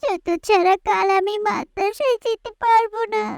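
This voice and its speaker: A tiny whiny cartoon little sister, super high voice, dry sound. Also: female voice, normal speed, gravelly, dry recording. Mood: tearful, upset. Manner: whiny, pleading. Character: pleading little village girl, younger sister. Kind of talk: animated moral story dialogue.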